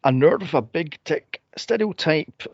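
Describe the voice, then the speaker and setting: A man's voice: animated, a little slow, breathy edge, no room sound. A man co-hosting a film podcast, chatting casually and animatedly and slipping into exaggerated voices when he retells stories. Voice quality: says he has a deep, straight-sounding voice. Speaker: podcast co-host reviewing films. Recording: dry, slightly dull podcast mic.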